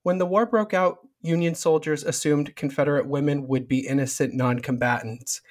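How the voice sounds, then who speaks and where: A man's voice: a little high, fairly even intonation, normal speed. A man hosting a book podcast, reading a formal introduction and asking interview questions. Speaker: podcast host.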